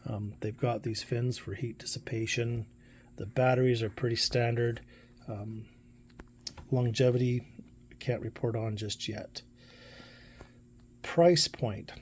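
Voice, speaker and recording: A man with a dark, relaxed voice at a medium pitch talks off the cuff as he reviews a product. He is plainspoken and a little halting, working out his thoughts aloud. Faint room noise sits behind him. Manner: hesitant, plainspoken, thinking aloud. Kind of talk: casual unscripted product review talk.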